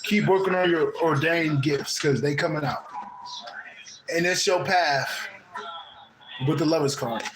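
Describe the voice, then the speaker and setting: Laughing, slangy high-voiced Midwestern man. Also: measured pace, pauses often, echoey room, slight background noise, low-quality recording. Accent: Midwestern American. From American Midwest. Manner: loose, laughing, heavy slang and swearing. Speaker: host of a spiritual channel. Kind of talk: casual chat with a live caller.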